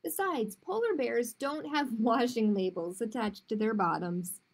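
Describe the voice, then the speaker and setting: A woman's voice: fairly high pitch, measured pace. Woman playing a plain-spoken polar bear. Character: matter-of-fact polar bear.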